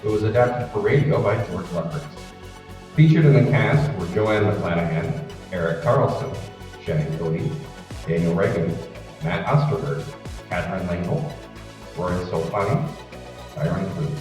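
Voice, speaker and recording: A man's voice: sits low, normal speed, long pauses. A man reading scripted credits and promotion as an old-time radio announcer. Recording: reverberant hall, distant, loud music behind. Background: music.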